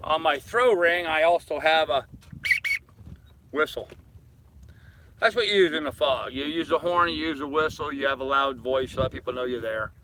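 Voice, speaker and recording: An older man with a very bright, sharp voice that sits a little high hosts a casual live stream. He rambles from one viewer comment to the next, talking to people like old pals. Faint ambient engine noise sits behind him aboard his boat. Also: normal speed, pauses often, poor audio. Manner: rambling, addresses viewers familiarly as brother and man.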